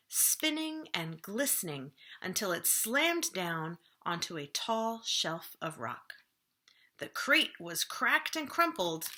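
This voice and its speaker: Bright-voiced woman reading a children's story. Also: mid-range voice. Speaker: children's librarian reading a book aloud. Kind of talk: storybook read-aloud for children.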